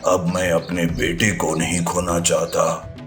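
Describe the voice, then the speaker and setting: Storybook lion king, played by a man: very low, even and slow, grave devoted father, faint music behind. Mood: worried.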